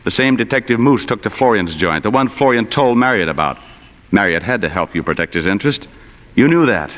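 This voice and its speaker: An actor playing an unflappable, sardonic, slangy hard-boiled private detective in 1940s radio acting style. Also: male voice, fairly low pitch, expressive, a little fast, a bit bright. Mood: dry, probing. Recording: dull vintage radio recording, long hall reverb.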